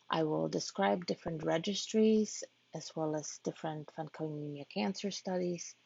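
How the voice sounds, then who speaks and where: Low gravelly woman from Poland, measured. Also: mellow, no echo. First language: Polish. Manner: measured, earnest, occasional hesitations. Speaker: physician-scientist giving a research talk. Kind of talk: prepared presentation to patient families. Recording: dull lo-fi online talk audio.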